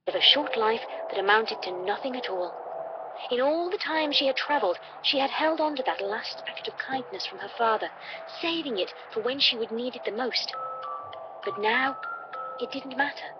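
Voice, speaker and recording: A woman's voice: fairly high pitch, expressive, moderate pace, noisy background. A woman reading a scripted story as a dramatic narrator. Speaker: fan fiction reader. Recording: muffled lo-fi playback. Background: music.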